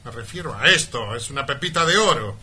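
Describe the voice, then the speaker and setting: An actor playing a cruel, greedy, scheming mine owner in a parody radio melodrama, forceful and contemptuous. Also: male voice, medium pitch, expressive, fairly quick. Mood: scheming, cold. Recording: light background noise.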